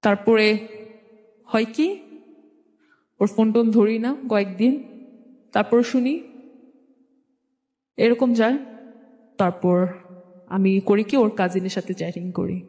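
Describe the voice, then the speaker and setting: A woman's voice: medium pitch, steady tone, slow pace, long pauses, a little mellow. A young woman with a Bangladeshi accent tells a personal story in an informal, conversational way, often quoting exchanges. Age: young adult. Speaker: guest telling their life story. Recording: reverberant hall-like echo.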